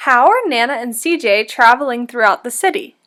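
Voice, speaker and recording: A woman teacher giving an interactive storybook read-aloud for children, speaking in an instructive, deliberate way. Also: a little high, expressive, fairly bright tone.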